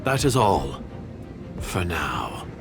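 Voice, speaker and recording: Low, slow, calculating villain. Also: male voice, sing-song, vocal fry, heavily acted. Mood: cautious, condescending. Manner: measured, condescending. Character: cold, calculating diplomatic agent, male villain. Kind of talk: video game character acting. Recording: game music behind the voice.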